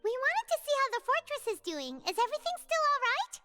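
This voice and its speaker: A woman voicing a small, cheery, excitable childlike fairy companion with a squeaky voice in a video game. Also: fairly quick, soft background music, exaggerated voice. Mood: curious. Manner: speaks of self in third person.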